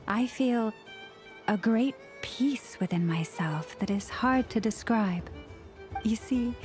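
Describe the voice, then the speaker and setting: Calm antlered game priestess voiced by an actress, soft and even, faint music underneath. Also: female voice, medium pitch, lightly acted. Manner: calm, warm, soft-spoken. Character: gentle antlered moon priestess, serene and kind. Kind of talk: video game voice acting.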